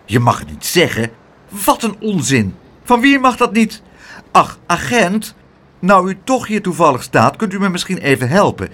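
A man's voice: sing-song, moderate pace, a bit bright, full character voice. Een man die een schelle, bazige, verontwaardigde tante voorleest in een kinderverhaal. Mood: shouting. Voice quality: high, scolding, shrill. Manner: indignant, demanding. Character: shrill bossy fussing aunt, middle-aged woman.

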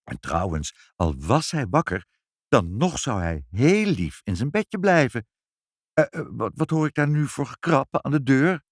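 A man's voice: mid-range voice, very animated, measured pace, no echo, clean studio sound. A man voicing an indignant, doting lady who protests emphatically in a children's story. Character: indignant, doting mother, a proper lady in denial.

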